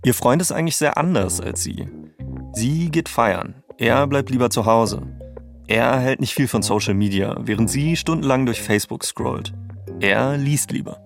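A man's voice: medium pitch, animated, moderate pace, vocal fry, soft background music. A man hosting and narrating a documentary podcast from a script.

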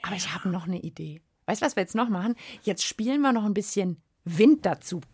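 A woman's voice: mid-range voice, lively intonation, brisk, darkish tone, slightly rough, dry recording. Giggly woman hosting a kids' show. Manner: giggly, cheerful, keeps things moving. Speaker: children's radio host. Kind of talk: playful chat with a co-host.

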